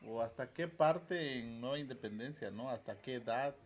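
A man's voice: mid-range voice, moderate pace. A man in his forties with a southern Mexican accent, hosting an informal interview and often tagging questions onto his phrases. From Chiapas, southern Mexico. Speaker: host and interviewer. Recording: noticeable background ambient noise.

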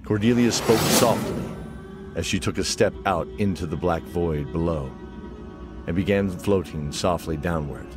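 A man's voice: deep voice, a little slow, over music. A man narrating a scripted fantasy audio drama as its storyteller. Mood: measured storytelling.

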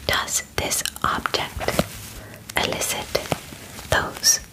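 A woman in her twenties doing an ASMR roleplay as a polite, clinical alien examiner, speaking formally and soothingly close to the mic. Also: rough recording. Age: 20s. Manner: formal, soothing, deliberate phrasing. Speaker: ASMR performer. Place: small bedroom, indoors.

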